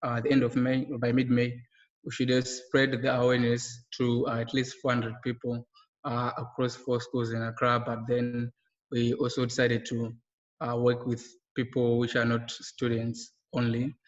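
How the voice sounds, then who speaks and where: A young man goes over his project's goals on a webinar call, halting and full of filler sounds. He speaks in an almost unchanging monotone. The call audio is muffled and lo-fi, with a hall-like echo. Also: medium pitch. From Zimbabwe. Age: young adult. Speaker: project co-founder presenting. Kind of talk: webinar slide presentation. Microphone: video call. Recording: echoey, dull lo-fi webinar audio.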